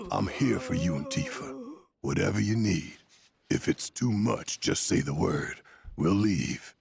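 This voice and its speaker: Game casting: gruff rebel protector, deep and heavily gravelled, animated, folksy, passionate. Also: male voice, full character voice. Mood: earnest, protective. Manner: forceful, folksy, swearing, rallying. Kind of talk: video game cutscene acting.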